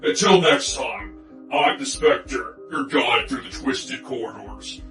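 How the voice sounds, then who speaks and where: A man playing a ghostly, sinister horror host, delivering an outro to dark tales in a theatrical, ominous, deliberate manner. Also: mid-range voice, animated. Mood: inviting, sinister. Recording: echoey voice over music.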